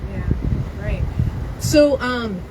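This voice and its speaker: A woman's voice: a little high, animated, very slow. Non-native woman candidate fielding press questions, earnest with fillers, recorded from afar outdoors by traffic. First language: not English. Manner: earnest, many fillers and restarts. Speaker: mayoral candidate. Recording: loud noise, far from the mic.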